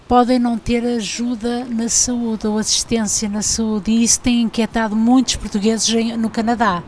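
A woman interviewer who asks brief, direct questions. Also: on the high side.

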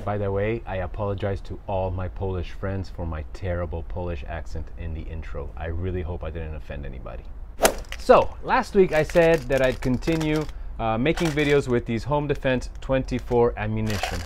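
A man's voice: fairly low pitch, moderate pace, noisy background. A man, hosting a casual airgun review outdoors with theatrical announcing. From Italy. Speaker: airgun channel host. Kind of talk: casual product review talk. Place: outdoor backyard shooting range.

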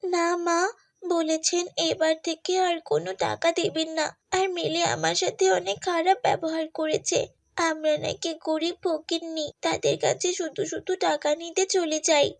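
A high, youthful voice of a poor, pious girl in a cartoon played by a woman. She speaks politely and earnestly, with a plaintive, patient edge. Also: little pitch movement, measured pace, creaky, full character voice. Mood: hurt, resolute. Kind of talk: Bengali moral cartoon voice acting.

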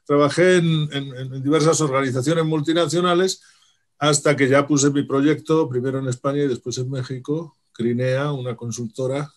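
A man's voice: mid-range voice, fairly even intonation, moderate pace. A middle-aged man with a Madrid accent answers interview questions over a webcam, in a mid-range voice. He is upbeat and chatty, often restarts his words and ends phrases with a questioning "¿no?". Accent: Madrid Spanish. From Spain. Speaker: guest, psychologist and coach. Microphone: video call webcam.

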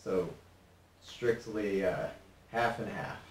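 A young man, a guest on a TV interview, answering in a relaxed, halting way with many fillers. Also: on the low side. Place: TV studio set. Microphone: desk mic from a distance. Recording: roomy studio sound, mic at a distance, faint hiss.

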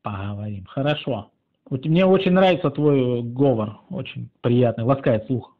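A man's voice: mid-range voice, moderate pace. Sarcastic man on a muffled call. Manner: sarcastic, teasing, drawling. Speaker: channel author pranking a phone scammer. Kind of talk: casual mocking phone chat.

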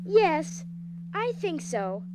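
A headstrong, loving young girl played by a woman in a radio play. The voice is very high and animated, over loud humming noise. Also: drawn-out words, exaggerated voice. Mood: earnest resolve. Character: earnest, stubborn, loving teenage girl heroine. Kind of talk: audio drama acting. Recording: very noisy, humming background.

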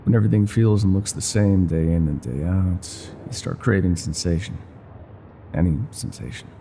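Guy doing a low mellow older ex-mob bartender, tired and wry, voice moves around a lot, asmr roleplay with noise behind it. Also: gravelly. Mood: wistful, confiding. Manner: weary, wry, confiding. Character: world-weary older ex-mafia bartender, gruff, self-deprecating, secretly tender. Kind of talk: intimate ASMR roleplay monologue.

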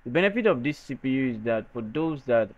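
A man presenting a software tutorial in a halting way, often repeating his phrases. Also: medium pitch, expressive, dry recording. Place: noisy room. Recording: dull lo-fi microphone sound.

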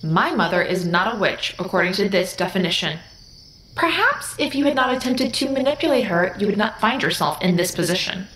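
A woman's voice: mid-range voice, animated, brisk, fairly bright tone, slight background noise, close to an everyday voice. Woman playing a formal, literal alien hybrid, echoey. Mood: detached, literal. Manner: formal, precise, literal, detached. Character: literal-minded young female alien-human hybrid, curious and emotionless. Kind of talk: audio drama acting.

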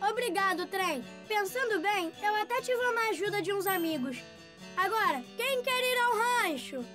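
A plucky little Brazilian cartoon boy with a very high, childlike voice. He is curious, cheerful and eager, speaking politely over prominent music. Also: animated, normal speed. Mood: friendly, polite. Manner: wide-eyed, eager, polite. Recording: music behind the voice.

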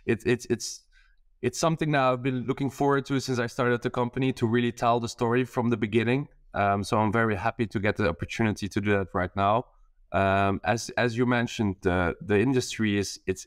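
A man's voice: lowish voice, no room sound, clean studio sound. A man in his thirties from Belgium, a startup founder speaking as a guest on a video call, hesitant with many fillers and restarts. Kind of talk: casual interview on a video call. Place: bright attic room with skylight.

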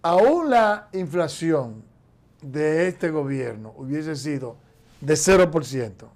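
Man, 50s, emphatic, raised pitch, TV studio, lapel mic. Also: animated, takes it slowly, a little mellow. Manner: emphatic, gestures constantly while explaining. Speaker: economist commentator. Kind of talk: political commentary on a TV news show. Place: TV studio, seated in chair.

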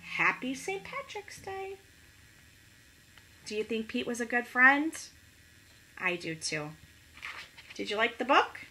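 A middle-aged woman reading a picture book expressively to young children, pausing to ask them questions. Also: a little high, very animated, pushed and bright, poor audio. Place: small living room at home, indoors.

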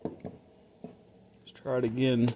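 A man's voice: mid-range voice, monotone, very slow, warm tone, muffled recording. A man thinking aloud as he tests an engine at his garage workbench, casual and a bit frustrated. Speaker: home mechanic vlogger. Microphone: phone camera held close.

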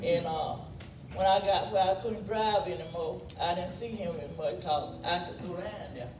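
A man's voice: high-pitched, normal speed, background noise. An older man giving an informal, rambling family remembrance at a funeral. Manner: rambling, plain-spoken, repeats points. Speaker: mourner giving a family tribute. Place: large church sanctuary, indoors. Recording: echoey church from far off, music behind.

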